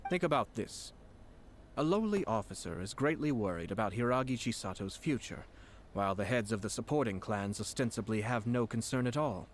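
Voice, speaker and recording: An actor playing a calm, calculating nobleman in a video game, speaking in a measured, formal, deliberate way. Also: on the low side, a little hiss or hum, natural voice. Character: composed, cunning nobleman, calm and calculating commission head. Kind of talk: scripted video game dialogue. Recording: background music under the voice.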